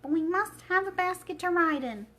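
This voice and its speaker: A woman's voice: very high-pitched, animated, normal speed, bright, forceful tone, voice pushed up, heavily acted. A woman voicing a weary old humbug wizard in a storybook, earnest and emphatic as he explains himself. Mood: eager.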